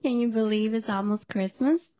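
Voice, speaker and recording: Podcast host, woman, hoarse and losing her voice, higher-pitched, teasing chat in a dry room. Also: normal speed, mellow, dry recording. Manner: chatty, laughs often, teasing. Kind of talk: casual chat.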